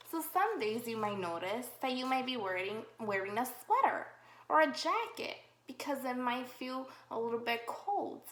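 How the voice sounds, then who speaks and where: Slow, sing-song kindergarten teacher reading aloud. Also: female voice, fairly high pitch, a bit bright. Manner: slow, encouraging, exaggerated sing-song questioning. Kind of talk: reading a picture book aloud to young children. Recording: roomy echo.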